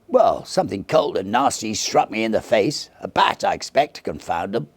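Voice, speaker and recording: A man voicing a brave, blustering Victorian gentleman in an exclamatory manner for a ghost story reading. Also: fairly low pitch, big pitch swings, normal speed, vocal fry, voice pushed up, heavily acted. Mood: indignant.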